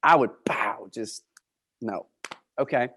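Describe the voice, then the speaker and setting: A man's voice: mid-range voice, slow, bright, forceful tone, slightly rough. A man hosting an interview in a casual, slangy way, with playful, theatrical reactions. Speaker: interview host, realtor.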